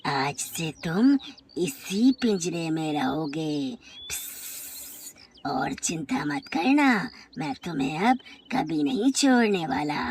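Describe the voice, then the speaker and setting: A woman playing a dangerous male snake in a children's animated story, threatening and slow, breaking speech with hisses. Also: low-pitched, full character voice. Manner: slow, threatening, broken by hissing sounds. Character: dangerous, menacing male snake, owner of a corn field.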